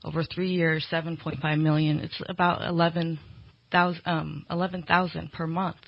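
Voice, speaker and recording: Female interviewee, political candidate; explanatory, slightly halting delivery, close dry sound, dull lo-fi recording. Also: sits low, little pitch movement, vocal fry, no room sound. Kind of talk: conversational interview answers. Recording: dull, lo-fi radio interview audio.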